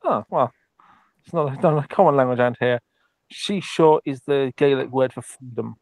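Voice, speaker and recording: A man's voice: medium pitch, moderate pace, darkish tone, no echo. A man speaking in character during a tabletop roleplay, hesitant, with many ums and restarts.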